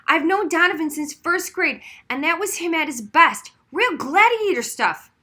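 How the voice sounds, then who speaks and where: A woman voicing an excitable, fiercely loyal young schoolboy in a storybook read aloud. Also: extremely high pitch, moderate pace, voice pushed up, heavily acted. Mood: explosive, admiring.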